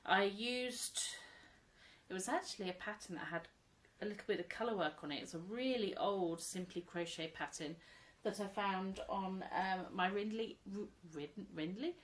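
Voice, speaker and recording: A woman in her thirties, chatting casually at home about her crochet, a little croaky from a cold, rambling and laughing often. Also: medium pitch, normal speed. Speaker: crochet vlogger, mother. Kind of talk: casual show-and-tell chat.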